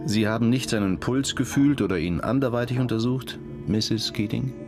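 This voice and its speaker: A man's voice: medium pitch, moderate pace, natural voice. Man playing a terse, patient police detective. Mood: calm, terse. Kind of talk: radio drama acting. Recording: music behind the voice.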